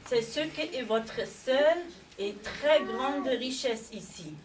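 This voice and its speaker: Emotional woman delivering a hesitant eulogy in a second language, slow pace, distant mic. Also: high-pitched, crowd noise. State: moved, emotional. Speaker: family member giving a eulogy. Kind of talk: halting memorial speech. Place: community hall. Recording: distant mic, faint background noise.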